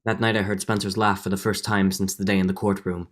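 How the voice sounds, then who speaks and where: A man's voice: deep voice, level tone, fast talker, lightly acted. A man playing a middle-aged judge, earnest and guilt-ridden, in a dramatic 1940s radio drama style with hesitant turns. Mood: brooding, confessional. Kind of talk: 1940s radio drama acting with first-person narration.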